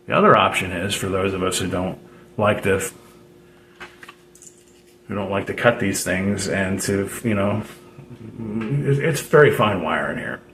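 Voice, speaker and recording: A man's voice: low-pitched, fairly bright tone. A man giving an unscripted, casual how-to explanation as a hobbyist, in a hesitant, rambling way with repeated phrases. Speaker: DIY radio hobbyist presenting a tutorial. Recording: roomy echo with background noise.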